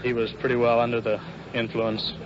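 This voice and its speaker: A man's voice: mid-range voice, monotone, fairly quick. A male police officer giving an unscripted, matter-of-fact briefing out in the field on an old radio recording. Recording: muffled 1950s field radio recording, faint noise.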